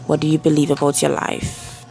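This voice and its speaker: A woman with a low, creaky voice guides a meditation over faint music. She delivers affirmations in a soothing, deliberate way, with long pauses between phrases. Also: monotone, poor audio. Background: soft background music.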